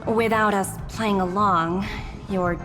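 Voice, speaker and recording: A woman's voice: mid-range voice, fairly even intonation, slow, music in the background, lightly acted. Actress as a poised businesswoman, reverberant hall. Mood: composed, shrewd. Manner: polished, businesslike. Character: poised, shrewd young businesswoman.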